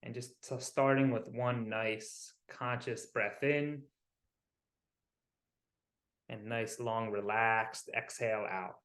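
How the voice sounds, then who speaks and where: A man's voice: medium pitch, steady tone, fairly bright tone. Man guiding a meditation in an echoey room. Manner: soothing, unhurried, with pauses between short phrases. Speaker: wellness coach leading guided visualization. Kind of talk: guided meditation. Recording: roomy, echoing sound.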